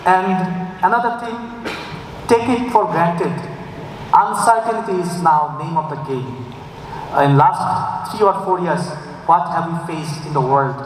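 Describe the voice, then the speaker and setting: A man with a Bangladeshi accent gives a conference presentation as an official, in a halting way with frequent fillers. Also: fairly high pitch. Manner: hesitant, halting, with frequent fillers and repeated phrases. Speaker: government official presenting at a summit. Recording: very echoey hall, distant mic, faint noise.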